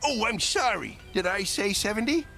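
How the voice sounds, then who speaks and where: Game cutscene role: scheming, blustering crab, very high for a man, sharp and forceful. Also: animated, normal speed, creaky, soft background music, heavily acted. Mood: sly. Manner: folksy, shifty, blustering. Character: greedy, scheming male cartoon crab in cowboy world. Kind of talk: animated game cutscene acting.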